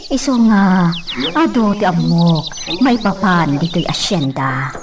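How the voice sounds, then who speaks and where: A woman's voice: on the low side, animated, slow pace. A woman with an Ilocano accent playing a meek, guarded hacienda servant in a radio drama, deferential and hesitant. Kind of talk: slow-paced radio drama acting. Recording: distant mic, clearly noisy background.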